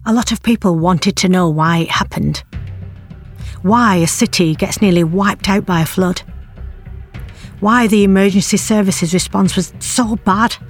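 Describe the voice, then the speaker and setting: A woman's voice: medium pitch, a little slow, soft background music, natural voice. An actress playing a bereaved middle-aged mother in an audio drama, confiding her story in a plain-spoken, wry and bitter way. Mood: weary, bitter. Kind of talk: audio drama narration, intimate monologue.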